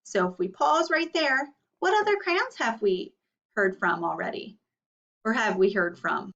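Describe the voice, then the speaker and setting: A woman's voice: a little high, expressive, unhurried, a bit bright. A woman teacher leading a read-aloud lesson for children, explaining and asking questions. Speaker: elementary school teacher. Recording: slightly muffled, distant microphone.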